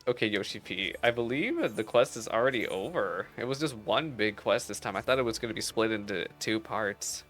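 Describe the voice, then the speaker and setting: A man who streams an online fantasy game, chatting casually and excitably with gushing, teasing commentary. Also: lowish voice, brisk, hardly any pauses, soft background music. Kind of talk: casual game commentary mixed with reading dialogue aloud.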